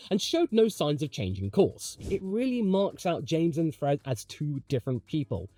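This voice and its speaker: A man presents opinionated motorsport commentary in a slightly high, very lively voice. His tone is mellow, and he leans on emphatic rhetorical questions and wry asides. It reads like a scripted video essay from a show's host. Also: dry recording.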